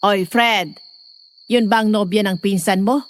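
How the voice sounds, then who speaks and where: A woman playing a nosy, chatty middle-aged nanny who teases and dotes, in an audio drama. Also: medium pitch. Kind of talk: Filipino audio horror drama acting.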